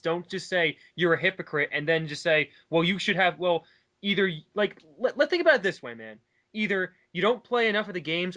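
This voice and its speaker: A man's voice: sits high, bright, forceful tone. A man giving casual, mocking commentary in a sarcastic, argumentative and exasperated way. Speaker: commentator reading and rebutting online comments.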